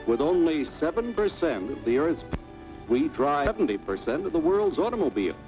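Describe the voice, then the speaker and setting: Level-headed American cartoon everyman; persuasive, lively storytelling, vintage film soundtrack with music. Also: a little high, moderate pace, lo-fi sound. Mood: folksy, persuasive. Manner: folksy, persuasive, emphatic. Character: plain-spoken, level-headed everyman citizen, folksy storyteller. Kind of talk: 1950s educational cartoon narration.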